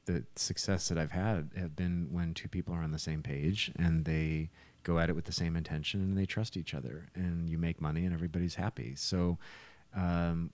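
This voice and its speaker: A man's voice: low-pitched, a little mellow, no room sound. A man co-hosting a podcast, talking casually and informally with a joking streak. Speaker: musician and podcast co-host. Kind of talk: casual podcast banter and chat.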